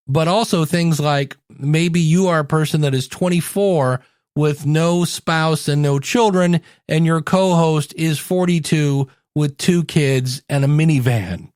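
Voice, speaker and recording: American man, podcast coach, somewhat high pitch, conversational teaching, direct address, dry close-mic sound. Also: darkish tone, no echo. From United States, Ohio. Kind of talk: solo podcast talk, teaching.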